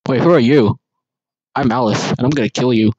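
A man's voice: medium pitch, brisk, darkish tone, slightly rough, no echo, muffled recording. A man casually doing an amateur fan dub of an animation, rambling and unserious, with half-hearted, silly ad-libs. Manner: rambling, silly, unserious ad-libbing with mock alarm. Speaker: amateur voice actor.

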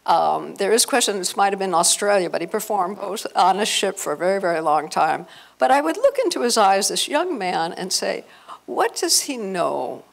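A woman's voice: mid-range voice, sing-song, normal speed, vocal fry. An older woman with an American accent giving an informal, effusive talk to an audience on a handheld microphone in a conference room. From Los Angeles, United States. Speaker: event host and speaker. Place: hotel conference room, indoors. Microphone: handheld microphone through PA.

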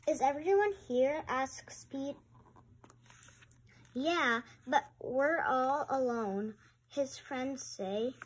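A woman reading a picture book aloud and adding chatty, exclamatory comments in a halting way. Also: high voice, husky. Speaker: read-aloud host. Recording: noisy amateur home recording.

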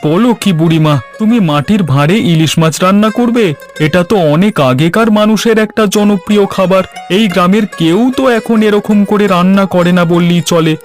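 Man as cartoon villager, high-pitched, inquisitive, friendly, coaxing, folksy, faint music underneath. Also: brisk, lightly acted. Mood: curious, eager. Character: inquisitive, friendly male villager eager for food. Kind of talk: Bengali cartoon voice acting.

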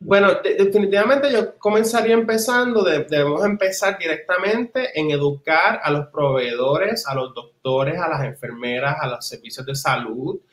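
A man's voice: a little high, expressive, normal speed, hardly any pauses. A man in his thirties with a Puerto Rican accent, speaking passionately and emphatically as an interview guest on a video call. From Puerto Rico. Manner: passionate, emphatic, repeats words for stress. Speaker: interviewee, community advocate. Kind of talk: conversational interview answers in Spanish with some English words. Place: indoors, small wood-walled room at home. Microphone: computer mic on a video call. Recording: roomy, echoey video call.

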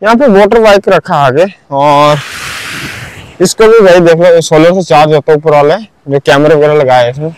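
A man's voice: a little high, normal speed, smooth, no room sound, low-quality recording. A young man narrating a casual walking tour of a beach at sunrise, chatty, with lots of filler words. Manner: chatty, keeps saying "bhai" and "matlab". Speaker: vlogger.

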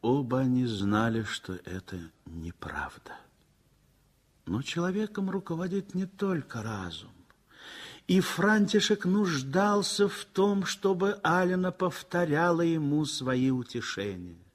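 A man narrating a 1960s radio play in a measured, sorrowful way. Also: a little high, very animated. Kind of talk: scripted literary narration. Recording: vintage 1960s radio recording with faint music.

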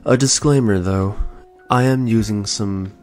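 Young man, soothing soft delivery, very slow, low-pitched, faint lo-fi music behind. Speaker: podcast host. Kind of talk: calm reflective storytelling.

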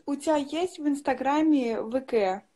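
A woman's voice: high-pitched, moderate pace, warm tone. A young woman on a phone video call, casually chatting and insistently asking to be added as a friend. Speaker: video call guest on a livestream.

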